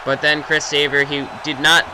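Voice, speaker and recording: A young man doing excited play-by-play for wrestling matches. His voice jumps up loudly on big moves, and he often restarts his phrases. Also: a little high, normal speed, noisy background. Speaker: play-by-play commentator for his own video game matches.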